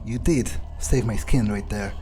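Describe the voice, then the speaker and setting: A man voicing a cocky, vindictive vampire in a video game roleplay, halting and foul-mouthed as he taunts and threatens. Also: on the low side, dark tone, slight background noise, natural voice. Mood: brooding, musing. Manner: halting, self-correcting, swearing, taunting.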